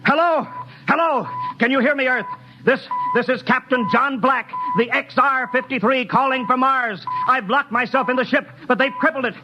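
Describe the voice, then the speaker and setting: An actor playing a middle-aged rocket captain in a 1950s radio drama, speaking softly and dreamily, then calling for help in frantic radio messages. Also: male voice, very high-pitched, normal speed. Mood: desperate. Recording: dull old 1950s radio broadcast with music.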